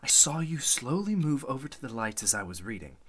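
A man's voice: mid-range voice, very animated, fairly quick, a bit bright, slightly rough. An actor playing a suspicious, insistent man investigating a murder in a radio mystery, speaking with accusing intensity. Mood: hushed, insistent. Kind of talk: radio mystery drama in Golden Age manner.

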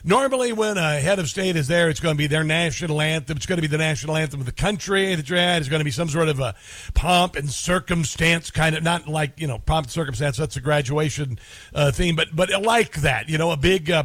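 A man's voice: a little high, brisk, fairly bright tone, no room sound. A man hosting a talk radio show, rambling and sarcastic with lots of fillers. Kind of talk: casual talk radio monologue.

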